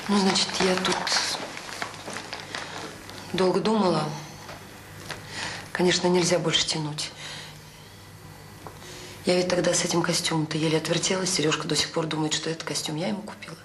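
An actress playing a devoted, busy middle-aged children's home director in a Soviet radio drama, speaking in a soft, conversational, hesitant way. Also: female voice, fairly low pitch, steady tone, normal speed, pauses often, close to an everyday voice. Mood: hesitant, confiding. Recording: roomy, clearly noisy old radio play recording.

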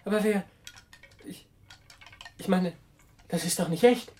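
A man's voice: high voice, measured pace, a little mellow. A bewildered nephew in a radio drama. He sounds flustered and incredulous. His delivery is hesitant and stammering. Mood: startled, incredulous. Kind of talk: German radio play acting.

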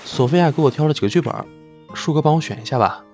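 A man's voice: a little high, expressive, fast, darkish tone, faint music behind, natural voice. A man playing an eager, devoted young male celebrity in an audio drama, earnest and keen to please. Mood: earnest, admiring.

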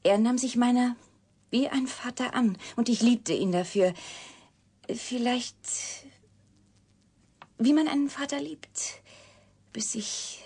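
An actress playing a reclusive, wounded young woman scientist in a radio drama, guarded yet confiding, speaking breathily and deliberately, often sinking to a whisper. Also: on the high side, warm tone, slightly rough, full character voice. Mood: sorrowful, intimate. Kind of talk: German radio drama acting.

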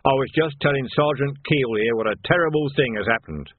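A man's voice: mid-range voice, a little fast, breathy edge, no room sound, narrow, tinny audio, voice pushed up, lightly acted. A man playing a smug, sly police sergeant in an audiobook, insinuating and falsely earnest. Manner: insinuating, mock-innocent. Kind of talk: audiobook character acting.

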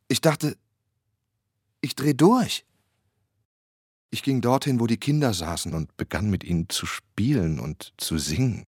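An actor playing a shaken disaster eyewitness in a German radio drama, recounting it bluntly in the first person with agitation. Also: male voice, lowish voice, slightly rough, no room sound, studio quality, exaggerated voice. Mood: agitated.

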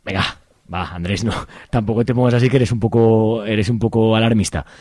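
A man's voice: on the low side, fairly even intonation, fairly quick, mellow, dry recording, natural voice. A man with an accent from Spain playing a young, easygoing activist in an amateur radio serial, colloquial and hesitant. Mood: reassuring, casual. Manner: colloquial, hesitant, repeats words. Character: young male activist, easygoing, dismisses worries, curious.

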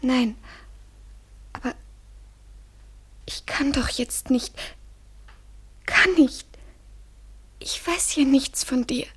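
Radio drama, 1970s German: vulnerable, anxious young female lover, slow, halting delivery, husky whispery edge. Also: high voice, fairly bright tone, heavily acted. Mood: anxious, pleading. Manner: breathy, halting, often falling to a whisper. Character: vulnerable, anxious young woman in a doomed love affair.

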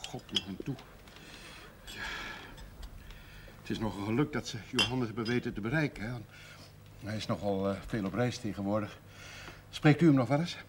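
Actor as blunt, avuncular older gentleman. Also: on the low side, normal speed, pauses often, a little hiss or hum, lightly acted. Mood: worried, brooding. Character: older provincial gentleman, genial but blunt, worried father. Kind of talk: 1970s Dutch television drama acting.

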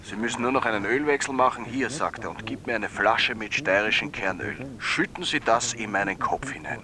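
A man's voice: on the low side, fairly quick, a crowd in the background, lightly acted. A man with an Austrian accent playing an eccentric phone-in caller in a radio drama, earnestly telling an absurd tale.

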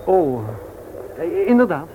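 A man's voice: high voice, very animated, takes it slowly, narrow, tinny audio, heavily acted. An actor playing a shy, polite widower in his thirties, hesitant and formal, in a Dutch radio play. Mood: flustered, hesitant. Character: shy, awkward, polite widower on a blind date. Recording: noisy old radio recording.

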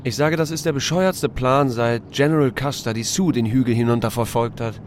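Trockener männlicher Erzähler, deutliches Hintergrundrauschen. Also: male voice, mid-range voice, moderate pace, a little hiss or hum. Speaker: audiobook narrator voicing a small-town sheriff's first-person story. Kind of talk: scripted narration with acted dialogue.

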